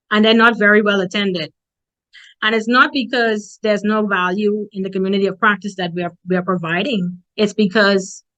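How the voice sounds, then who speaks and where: A woman's voice: medium pitch, bright, forceful tone, low-quality recording. A woman from the Bahamas with a strong Bahamian accent, giving a direct, emphatic motivational presentation as a conference speaker.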